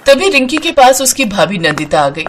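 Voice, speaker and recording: A woman's voice: a little high, sing-song, normal speed, slight background noise. A woman narrator reading a scripted story in a storytelling style.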